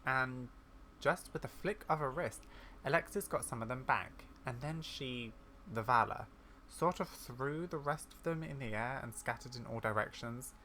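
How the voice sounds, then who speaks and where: Man, bright forceful voice, storytelling delivery, game quest read-aloud, faint background noise. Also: mid-range voice, normal speed, low-quality recording. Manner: storytelling, acting out characters' lines. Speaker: gaming YouTuber.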